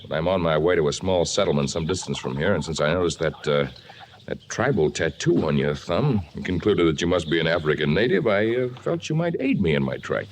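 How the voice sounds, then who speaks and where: An actor playing a noble, steadfast jungle hero with formal, stately wording in an old-time radio adventure. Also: male voice, low voice, very animated, fairly quick. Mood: calm, firm. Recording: noisy old radio recording.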